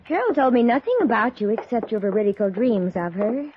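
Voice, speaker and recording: A woman playing a genteel, intense middle-aged spirit medium in a 1950s radio drama, gracious, breathy and theatrical. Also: fairly high pitch, expressive, fairly quick, a little hiss or hum, natural voice. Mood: gracious, composed. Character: genteel, intense female spirit medium, about forty-five. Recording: dull old radio recording.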